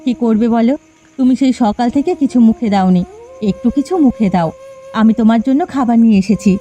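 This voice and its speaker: A woman voicing a caring, determined housewife in a cartoon, with a gentle, coaxing manner. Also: a little high, fairly quick, lightly acted. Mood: coaxing, concerned. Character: caring, determined housewife comforting her husband. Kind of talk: cartoon voice acting. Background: faint music.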